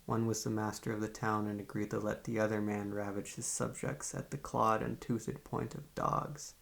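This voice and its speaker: Guy acting as a calm creepy psychiatrist for asmr, solemn and measured, real gravelly, pretty even tone. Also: exaggerated voice. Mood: grave, explanatory. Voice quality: low, gravelly. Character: male psychiatrist, calm, morbidly literary, quietly controlling. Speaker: therapist. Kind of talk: ASMR roleplay, poetry recitation and monologue.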